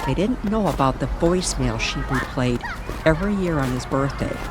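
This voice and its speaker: Low-voiced woman narrating an eerie story. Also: expressive, noisy background. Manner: measured, dramatic storytelling. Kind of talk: scripted narration of an eerie, nostalgic radio-style story. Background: music under the voice.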